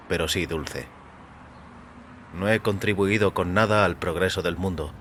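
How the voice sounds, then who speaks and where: A man giving a measured, literary, dramatic narration of a short story in a deliberate manner. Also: deep voice, fairly bright tone, a little hiss or hum. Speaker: audio fiction narrator.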